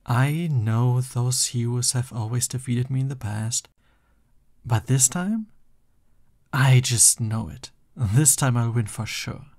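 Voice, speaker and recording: A man acts out a boastful young male supervillain who is also a bashful, loving boyfriend in an ASMR roleplay. His mid-pitched voice has a gravelly creak and takes its time, moving between grand theatrics and flustered affection. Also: takes it slowly, darkish tone. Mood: eager, boastful.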